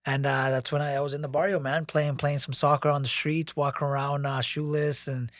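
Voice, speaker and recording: A man's voice: on the high side, flat delivery, fairly quick, a bit bright, no room sound, studio quality. Rambling young man telling a story. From born in New York, raised abroad by grandmother. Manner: rambling, lots of restarts and filler, swears casually. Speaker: podcast co-host. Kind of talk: casual storytelling chat.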